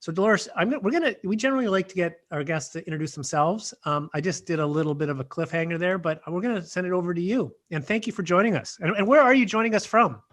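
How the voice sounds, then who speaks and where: A man's voice: high-pitched, quick pace, few pauses, a bit bright. A man hosting a podcast interview, introducing the guest in a rambling, hesitant way. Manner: rambling, hesitant, many fillers. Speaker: podcast co-host.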